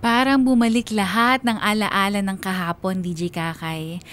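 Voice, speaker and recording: A woman's voice: medium pitch, steady tone, moderate pace, a bit bright, natural voice. A woman playing a sorrowful, devoted mother narrating her life story in a radio drama. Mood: pained, regretful. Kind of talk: scripted first-person radio drama narration. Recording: music bed behind the voice.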